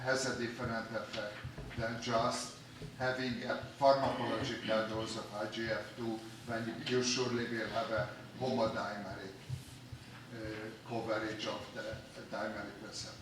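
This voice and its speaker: A man's voice: medium pitch, level tone, moderate pace. Hungarian-accented man in echoey hall. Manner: long, detailed technical questioning. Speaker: audience member asking a question. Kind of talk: conference Q&A question. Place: large conference hall. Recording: echoey hall, distant mic, noisy.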